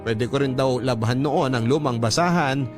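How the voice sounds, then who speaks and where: A man's voice: mid-range voice, moderate pace. A man with a Filipino accent reading a scripted first-person letter as a radio drama narrator. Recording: music under the narration.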